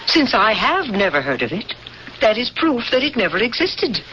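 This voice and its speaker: A cold alien interrogator. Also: female voice, a little high, moderate pace. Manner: flat, coldly logical. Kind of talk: 1970s radio drama acting. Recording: dull old radio recording with hiss.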